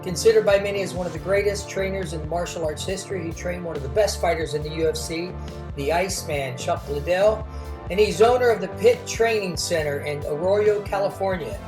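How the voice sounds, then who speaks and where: A man's voice: high-pitched, fairly quick, music in the background. A man co-hosting a podcast, introducing a guest in a hyped announcer style. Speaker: podcast co-host.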